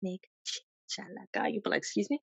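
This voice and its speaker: A woman's voice: medium pitch, lively intonation, quick pace, creaky, husky, dry recording. A woman co-hosting a podcast, chatting casually and laughing often as she tells stories. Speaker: podcast co-host. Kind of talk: casual chat. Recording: dull lo-fi sound.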